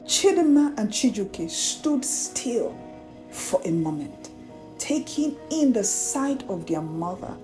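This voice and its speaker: A woman narrating a scripted folktale as a storyteller, in an unhurried, measured delivery. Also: medium pitch. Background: background music.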